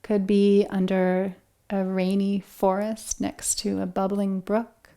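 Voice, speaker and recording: A woman guides a relaxation meditation in a gentle, calming manner. Her delivery is very slow, with long pauses between phrases. Her mid-pitched voice often settles into a noticeable creak. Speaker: yoga and meditation instructor.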